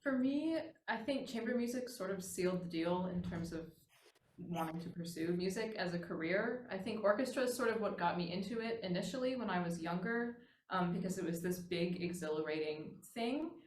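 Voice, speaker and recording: A woman's voice: lowish voice, lively intonation, brisk. A young adult woman with an American accent, answering interview questions conversationally as a music student. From Wisconsin, United States. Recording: echoey room, clear background noise.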